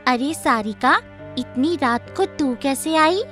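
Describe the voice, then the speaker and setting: A worried young-sounding female friend played by a woman speaks in a very high voice. She is caring and urgent, as in an animated children's tale, over background music. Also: slow, heavily acted. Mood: surprised, worried. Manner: urgent, advising. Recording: music playing behind the voice.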